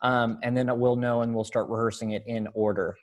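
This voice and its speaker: A man directing a readers theater rehearsal over a video call, talking casually in halting, hesitant phrases. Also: mid-range voice, flat delivery.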